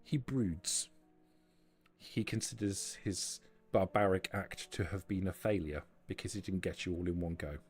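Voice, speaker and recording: Man playing a low, husky, guarded vampire woman. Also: soft background music, exaggerated voice. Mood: cool, conspiratorial. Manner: measured, formal, deliberate diction. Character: formal, guarded vampire woman, quietly plotting defection.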